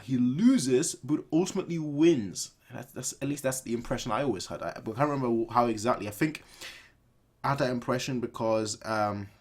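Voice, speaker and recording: A man in his twenties giving casual, off-the-cuff reaction commentary in an animated, rambling way, repeating phrases for emphasis. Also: mid-range voice, fairly quick. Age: 20s. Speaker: reaction video host. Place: small room at home, indoors.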